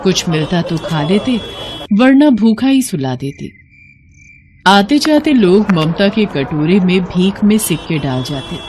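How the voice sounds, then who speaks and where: A woman narrating a scripted moral story as an expressive storyteller. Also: mid-range voice, a little slow, background noise.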